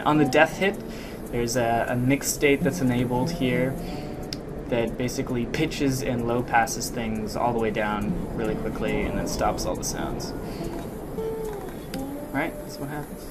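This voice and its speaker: A man giving a casual, hesitant software demo walkthrough as a game sound designer, thinking aloud as he goes. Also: mid-range voice, background noise. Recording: loud music and effects behind voice. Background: loud music and game sound effects.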